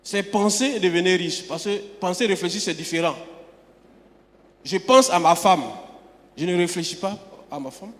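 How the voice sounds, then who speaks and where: A man's voice: high voice, moderate pace, a bit bright. A man from Côte d'Ivoire, preaching an emphatic sermon and often repeating words as he restarts. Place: church sanctuary. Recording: long hall reverb.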